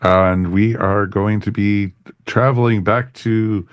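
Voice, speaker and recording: A man's voice: fairly low pitch, steady tone, slow pace, dry recording, clean studio sound. A man hosting a casual fan review podcast, speaking in an enthusiastic, agreeable way. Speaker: podcast host.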